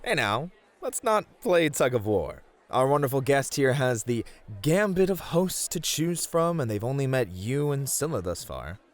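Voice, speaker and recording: Male actor as doting, teasing bunny club host for ASMR roleplay; very animated intonation. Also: mid-range voice, a little slow. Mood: friendly, attentive. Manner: affectionate, teasing, attentive. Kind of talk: flirty ASMR roleplay addressed to the listener.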